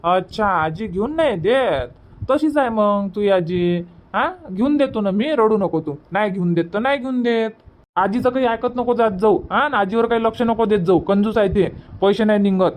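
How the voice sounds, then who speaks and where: कार्टूनमधल्या मायाळू, पुन्हा पुन्हा समजूत काढणाऱ्या मामाला वैदर्भीय मराठीत आवाज देणारा पुरुष. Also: male voice, high voice, moderate pace, close to an everyday voice. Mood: soothing. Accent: Vidarbha Marathi. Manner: repetitive, reassuring. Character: kindly village uncle, soothing and grumbling about stingy granny. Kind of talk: cartoon comedy dubbing.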